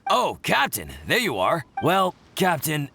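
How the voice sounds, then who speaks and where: Earnest, animated, higher-voiced crewmate. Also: male voice, gravelly, faint music behind, exaggerated voice. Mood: brisk, businesslike. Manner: brisk, matter-of-fact, respectfully insistent. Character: earnest, dutiful male ship's crewmate, insistent organizer. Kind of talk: video game character dialogue.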